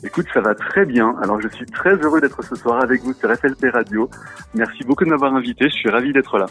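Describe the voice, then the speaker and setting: A man's voice: medium pitch, fast talker, a bit bright, breathy edge. A man guesting on a radio show, talking about his music in a friendly, eager way with plenty of fillers. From France. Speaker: singer-songwriter guest. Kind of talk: radio interview. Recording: tinny phone-line sound, dry.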